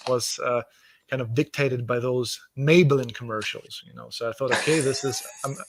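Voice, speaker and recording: A man's voice: medium pitch, steady tone, moderate pace. A man from Russia with a Russian accent, speaking as an author guest in a casual video call interview, enthusiastic and often repeating words as he searches for phrasing.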